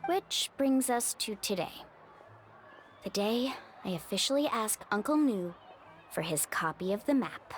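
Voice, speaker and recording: A woman voicing an upbeat, friendly young tour guide in a video game, with an earnest, expressive delivery. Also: mid-range voice, measured pace, slight background noise, natural voice. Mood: explaining, slightly nervous.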